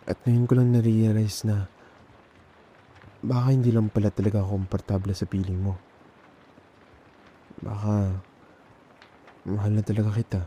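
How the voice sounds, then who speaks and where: A man with a Filipino accent playing a nervous, tender young man in an intimate ASMR boyfriend roleplay, speaking haltingly and repeating words. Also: lowish voice, mellow, breathy edge. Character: nervous, tender young man confessing love to male best friend. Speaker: ASMR audio roleplay performer.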